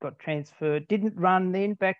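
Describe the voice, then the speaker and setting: A man's voice: sits high, measured pace, no echo. Older man, Australian accent, rambling, self-deprecating, dull dry recording. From Tasmania, Australia. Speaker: interview guest. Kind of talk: casual conversational storytelling.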